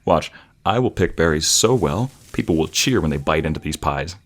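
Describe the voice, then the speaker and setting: A man's voice: extremely low pitch, expressive, normal speed. A young man playing a devoted, teasing boyfriend in a romantic audio roleplay, murmuring affectionately close to the microphone. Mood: playful. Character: playful, devoted young boyfriend, a villager with a secret. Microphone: close binaural microphone.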